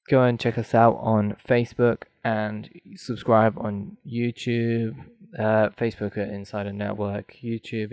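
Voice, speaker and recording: A man's voice: lowish voice, a little mellow, dry recording. A man hosting a solo film podcast, rambling and thinking aloud with many ums, his voice getting dry. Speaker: podcast host.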